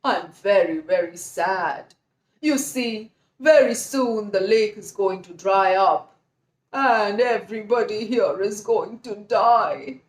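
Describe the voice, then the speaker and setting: A woman's voice: medium pitch, slow, reverberant, voice pushed down, lightly acted. A woman voicing a scheming old male crane in a children's story, grumbling and self-pitying in a mock-mournful way. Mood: mock sorrow.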